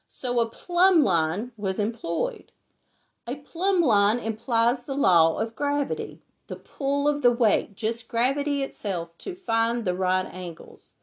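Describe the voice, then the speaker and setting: A woman with a hoarse, raspy voice from laryngitis, teaching a Bible lesson and reading scripture in a deliberate way. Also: on the high side.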